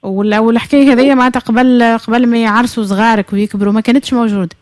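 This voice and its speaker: A woman's voice: on the high side, steady tone, racing, a little mellow, dry recording, clean studio sound. A Tunisian-accented woman hosting a call-in radio show, asking probing questions. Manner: probing questions, brief affirmations. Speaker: radio host.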